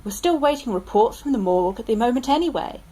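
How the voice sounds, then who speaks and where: A woman's voice: on the high side, expressive, fast talker, dark tone, rough recording. A woman playing an officious, politely apologetic male police sergeant in an amateur radio drama.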